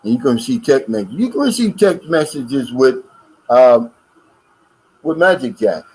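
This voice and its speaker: A man's voice: medium pitch, big pitch swings, unhurried, darkish tone, husky, poor audio. An older American man co-hosting a casual livestream chat and reading out viewer comments in a folksy, drawling way. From United States. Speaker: co-host of a livestream.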